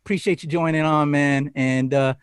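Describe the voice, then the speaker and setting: A laid-back, slangy male podcast host with a fairly high voice. He is recorded close and dry, with no room sound. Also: fairly even intonation, normal speed, smooth, dry recording. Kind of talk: casual podcast intro.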